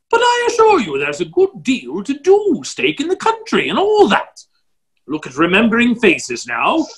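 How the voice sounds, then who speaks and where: A man's voice: high voice, fairly bright tone, exaggerated voice. A middle-aged man playing a genial, muddle-headed, blustering duke in a play reading over a video call. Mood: breezy, self-satisfied. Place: indoor office, video call. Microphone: computer microphone on a video call.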